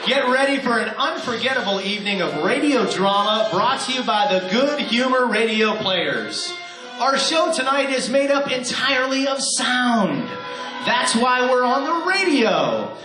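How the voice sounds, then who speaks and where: A bright, animated man with a high, lively voice emcees a live radio drama evening, hyping up the studio crowd. The sound is roomy and hall-like, with music playing behind. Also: unhurried. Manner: upbeat, crowd-rousing announcer. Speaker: emcee host. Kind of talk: live show hosting. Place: theater stage with live audience.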